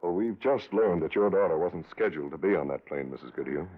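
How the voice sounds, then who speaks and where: An actor playing an authoritative police captain in a 1950s radio drama, businesslike and clipped. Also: male voice, low-pitched, expressive, a little fast. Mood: probing. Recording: narrow-band, phone-like old radio recording.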